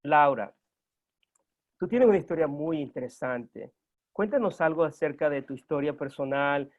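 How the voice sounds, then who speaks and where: Guy hosting an interview, kinda high voice, formal, lots of "ah"s, muffled lo-fi audio. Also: lively intonation, normal speed. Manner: warm formal welcomes, hesitant "ah" fillers. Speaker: interview host. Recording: dull lo-fi sound.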